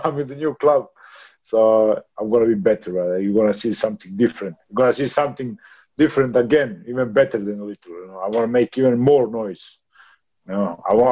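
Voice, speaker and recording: A man's voice: mid-range voice, mellow, highs cut off. Swearing man in his thirties, laughing often. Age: 30s. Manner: rough, swearing, laughs often, calls others brother. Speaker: boxer. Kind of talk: casual interview.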